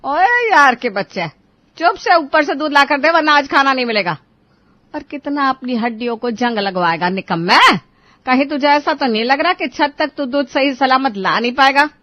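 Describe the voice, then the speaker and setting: Woman voicing a bossy grandmother, high-pitched, muffled recording. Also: animated, normal speed, no room sound. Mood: scolding. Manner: coaxing and scolding by turns. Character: bossy, coaxing, scolding grandmother of a household. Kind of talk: animated moral story dialogue.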